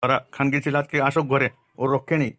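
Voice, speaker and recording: A man's voice: medium pitch, flat delivery, quick pace, a bit bright, no room sound, natural voice. A man voicing grumpy, foul-mouthed village men in a comic cartoon, coarse and exasperated.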